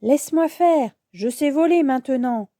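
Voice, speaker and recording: A woman voicing a boastful, excitable little boy cat superhero in a children's storybook. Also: high voice, a little slow, dry recording, studio quality. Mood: cocky.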